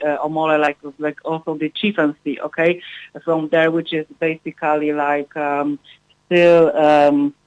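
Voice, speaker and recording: A woman being interviewed in a live radio chat, speaking haltingly as she searches for words in fragmented English. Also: low voice, no echo, dull audio. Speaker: guest music researcher and filmmaker.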